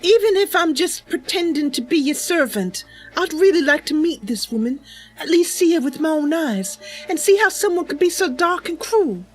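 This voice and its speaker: A woman's voice: sits high, animated, moderate pace, soft background music, poor audio. A woman voicing an earnest, naive young female wood elf adventurer in game roleplay, sincere and a little hesitant. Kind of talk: improvised in-character game roleplay.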